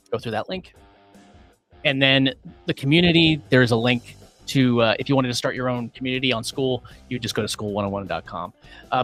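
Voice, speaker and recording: A man hosting a casual livestream, upbeat and rambling with many fillers, his voice going a little hoarse. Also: mid-range voice, a bit bright. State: losing his voice. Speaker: livestream host.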